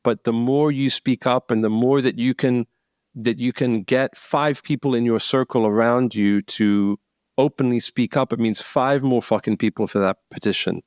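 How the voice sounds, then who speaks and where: A man's voice: medium pitch, moderate pace, darkish tone, no echo, studio quality. A man talking bluntly and emphatically as an interview guest, swearing for emphasis. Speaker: guest speaker. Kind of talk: conversational interview.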